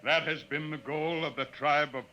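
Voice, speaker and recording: A man's voice: a little high, fairly even intonation, normal speed, pushed and bright, dull audio, a little hiss or hum. A man playing a proud, menacing alien chief in a pompous, archaic manner, in 1950s science fiction radio style. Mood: haughty, solemn. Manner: pompous, archaic, solemn speech. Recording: old radio broadcast, music behind.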